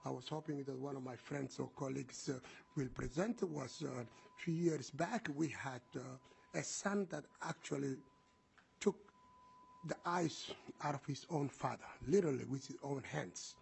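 A middle-aged man with a Somali accent giving public testimony in deliberate, hesitant phrases. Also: mid-range voice, creaky, darkish tone, husky. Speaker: program manager giving testimony. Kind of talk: public testimony, spoken semi-freely.

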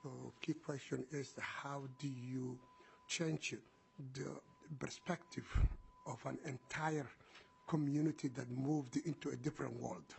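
A middle-aged man with a Somali accent giving public testimony in deliberate, hesitant phrases. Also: medium pitch, steady tone, gravelly, slight background noise, poor audio. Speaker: program manager giving testimony. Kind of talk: public testimony, spoken semi-freely.

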